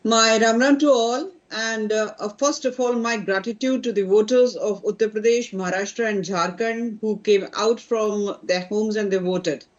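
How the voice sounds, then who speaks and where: A woman with an Indian English accent, a party spokesperson on a debate panel, insistent and listing her points with halting fillers. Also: medium pitch, fairly even intonation, highs cut off.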